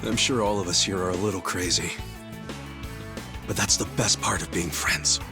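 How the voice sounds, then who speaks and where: A brash but big-hearted low-ranking gangster in a dramatic video game. His voice sits fairly low, and he talks in an earnest, informal, emotional way, with music playing underneath. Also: moderate pace. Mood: sincere, grateful. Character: brash, warm-hearted low-ranking yakuza hero, adult man.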